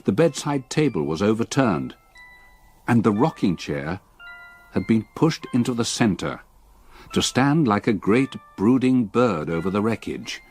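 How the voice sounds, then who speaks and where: An actor playing a haunted English gentleman who narrates his ordeal in a grave, measured, literary style for radio drama. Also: lowish voice, sing-song, husky, soft background music, heavily acted. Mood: grave, ominous.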